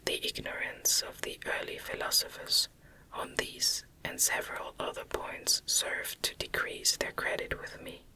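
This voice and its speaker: A woman reading a classic novel as ASMR narration in a soft, breathy, unvoiced whisper, hushed and measured, close to the microphone.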